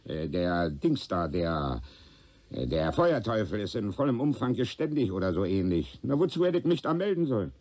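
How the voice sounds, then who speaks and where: An actor playing a nervous, hot-tempered old man in a radio play, halting and groping for words. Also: on the low side, very animated, darkish tone. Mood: irritable, defensive. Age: older. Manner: halting, muddled, gropes for words, colloquial. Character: nervous, irritable old male witness, forgetful and hot-tempered. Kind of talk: German radio play acting.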